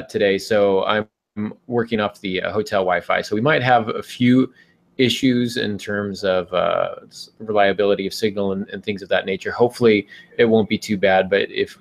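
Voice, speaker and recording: Low, slightly creaky male podcast host. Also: fairly even intonation, a little fast. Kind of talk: casual podcast hosting. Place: hotel room, on an internet call.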